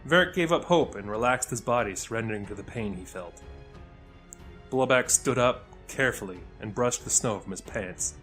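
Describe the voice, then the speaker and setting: A man's voice: mid-range voice, moderate pace, a bit bright. A man narrating a scripted audio fiction story. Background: faint music.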